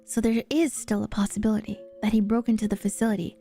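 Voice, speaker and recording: A woman's voice: medium pitch, little pitch movement, fast talker, gravelly, warm tone, faint music behind. A woman VTuber reading scripted story narration aloud in a dramatic readalong style.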